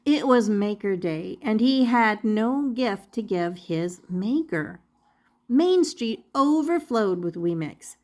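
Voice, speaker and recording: Slow, very animated older woman storyteller. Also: mid-range voice. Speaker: storyteller reading aloud to children. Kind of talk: expressive children's picture-book narration.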